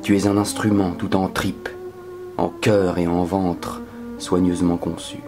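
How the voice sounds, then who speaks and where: A man performing a dramatic spoken-word poetry reading in a solemn, measured manner that can sink toward a whisper. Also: deep voice, flat delivery, over music. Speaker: poetry reader.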